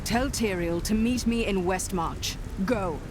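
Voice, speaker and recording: Woman playing a slow, resolute warrior heroine. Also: mid-range voice, background noise, close to an everyday voice. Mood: urgent. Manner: solemn, determined. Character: resolute woman warrior hero, stern and compassionate. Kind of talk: video game dramatic acting and narration.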